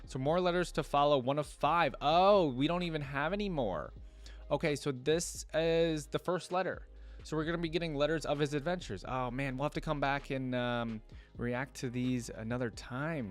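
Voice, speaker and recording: A man with a mid-pitched voice hosts a casual reaction video. He thinks aloud and explains informally, moving between commentary and reading aloud, with music playing behind him.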